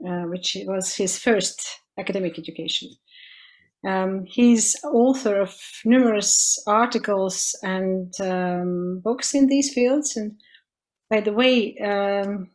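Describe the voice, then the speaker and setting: A woman hosting an online lecture, introducing the guest speaker with many pauses and fillers. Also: medium pitch. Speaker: lecture host. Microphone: video call.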